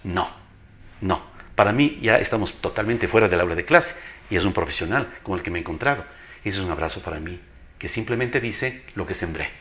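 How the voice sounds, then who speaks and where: A man's voice: deep voice, lively intonation, fairly quick, a bit bright. An older man with an Ecuadorian accent and a strong, announcer-like voice, telling anecdotes as an interview guest, emphatically, repeating phrases and acting out dialogue. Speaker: interview guest, veteran teacher.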